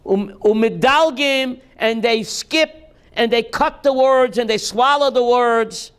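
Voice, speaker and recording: A rabbi teaching a class, reading text slowly and then explaining it in while quizzing his students. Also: very high voice, a bit bright, clear, resonant tone. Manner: reads Hebrew text aloud slowly, then explains in English, quizzing listeners.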